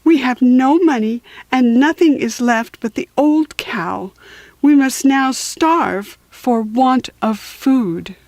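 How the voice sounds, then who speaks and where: A woman voicing a poor, desperate storybook mother who scolds with slow, emphatic reproach. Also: high voice, darkish tone, no room sound, pitched above the performer's own voice. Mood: tearful reproach.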